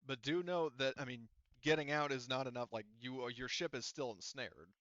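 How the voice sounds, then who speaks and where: A man's voice: mid-range voice, moderate pace, a bit bright, no room sound, dull audio. A man running a tabletop roleplaying game as game master, talking casually and thinking aloud as he narrates and explains rules.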